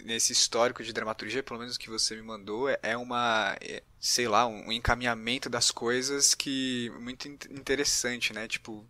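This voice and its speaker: A man's voice: mid-range voice, flat delivery, normal speed, few pauses, pushed and bright, no room sound. A man with a Brazilian Portuguese accent hosting a casual interview, informal and curious in the way he talks. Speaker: podcast host, interviewer.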